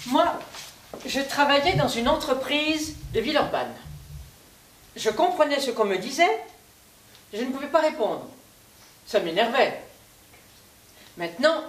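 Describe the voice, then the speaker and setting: A woman performer giving a measured theatrical recitation of testimonies on a stage. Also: on the high side. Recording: echoey stage, distant mic, faint noise.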